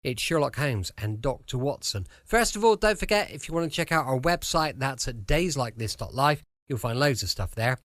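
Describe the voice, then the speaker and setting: A man's voice: mid-range voice, animated, moderate pace, hardly any pauses, a bit bright, no room sound. A man with a British accent hosting a podcast, giving a chatty introduction into a close studio microphone. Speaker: podcast host.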